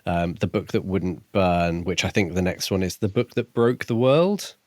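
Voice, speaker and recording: A man co-hosting a podcast, chatting casually about books in a tentative, softly qualified way. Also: low-pitched, moderate pace, no echo, studio quality. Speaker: podcast co-host. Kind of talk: casual book-club podcast chat.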